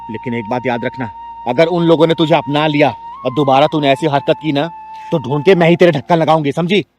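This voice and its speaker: A man's voice: on the high side, brisk, darkish tone, faint music behind, close to an everyday voice. A man playing a fierce, protective kinnar elder sister who scolds in blunt, threatening, preachy street talk in a short drama film. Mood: stern warning.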